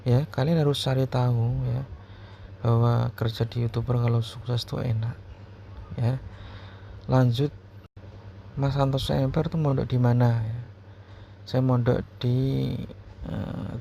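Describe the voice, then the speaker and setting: A man's voice: mid-range voice, vocal fry, mellow. A man with a Central Javanese accent chats casually to his viewers, explaining things and often repeating himself to check they follow. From Purwodadi Grobogan, Central Java, Indonesia. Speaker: YouTuber answering viewers' questions. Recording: muffled, lo-fi, faint background noise.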